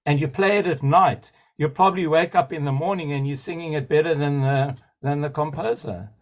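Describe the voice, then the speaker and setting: A man with a fairly high voice speaks up on a video-call webinar. He is eager and enthusiastic, rambling from one idea to the next and apologizing for talking too much. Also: fairly even intonation, a little fast. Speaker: webinar participant. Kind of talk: spontaneous comment in a webinar.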